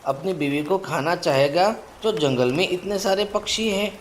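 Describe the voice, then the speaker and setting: A man's voice: high voice, moderate pace, slight background noise, lightly acted. A man voicing a plucky, protective mother sparrow in a cartoon story, pleading and melodramatic. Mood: pleading, defiant.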